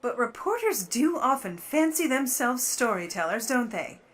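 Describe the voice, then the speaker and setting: Woman playing lively, arch Hollywood starlet. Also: a little high, moderate pace. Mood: arch, amused. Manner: arch, unflappable, teasing. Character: poised, mischievous Hollywood starlet and secret burglar. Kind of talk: 1950s radio play acting.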